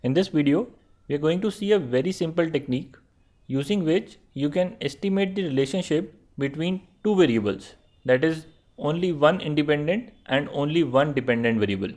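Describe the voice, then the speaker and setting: A young man with an Indian accent, narrating an online course tutorial and speaking straight to students in a steady explanatory way. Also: fairly high pitch, a little fast. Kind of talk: screen-recorded tutorial narration.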